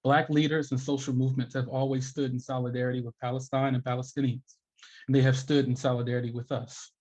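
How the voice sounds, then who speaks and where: A man's voice: mid-range voice, flat delivery, fast talker, a little mellow. A young adult man, an educator and activist, introducing himself and speaking in advocacy on a panel video call. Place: small room at home, indoors. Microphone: headset on a video call. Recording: dull, lo-fi video call audio.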